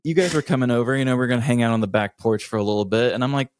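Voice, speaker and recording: A man's voice: medium pitch, very fast, darkish tone, clean tone, dry recording, clean studio sound. An American man co-hosting a podcast, telling casual comedic stories with a dramatic, self-deprecating, complaining manner. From United States. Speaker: podcast co-host.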